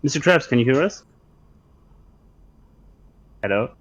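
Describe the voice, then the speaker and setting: A man's voice: mid-range voice, lively intonation, unhurried, no room sound. A man chatting casually in an online group call, starting his sentences with hesitant stammers. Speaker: participant in an online group chat. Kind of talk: casual chat.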